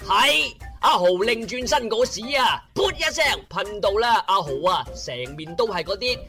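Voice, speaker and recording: A man with a Cantonese accent narrating a comic internet radio drama in a theatrical, colloquial storytelling style with drawn-out words. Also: high voice, expressive, pushed and bright, faint music behind.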